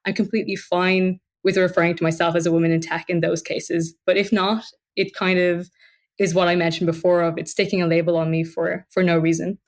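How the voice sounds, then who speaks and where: A woman's voice: lowish voice, little pitch movement, fairly quick. A woman giving earnest, reflective interview answers in a conversational way. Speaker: podcast interviewee, tech worker.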